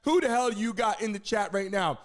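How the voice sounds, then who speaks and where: A man hosting a live stream, loudly hyping up viewers with shout-outs and repeated calls to join in. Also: high voice, a little fast. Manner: loud, excitable, repeats calls to the audience. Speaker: livestream show host. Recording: music playing behind the voice.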